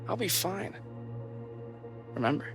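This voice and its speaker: A man's voice: high voice, sing-song, measured pace, fairly bright tone, soft background music, heavily acted. An actor playing a stubborn, earnest young man in a video game, speaking casually and matter-of-factly. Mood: casual, determined. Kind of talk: video game dramatic acting.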